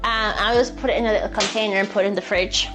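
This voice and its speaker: A woman's voice: medium pitch, fairly even intonation, fast, poor audio. A woman with an Irish accent chatting casually and playfully while hosting a live cooking stream. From Ireland. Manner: chatty, playful, reads out viewer messages. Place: indoors, in a kitchen. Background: music.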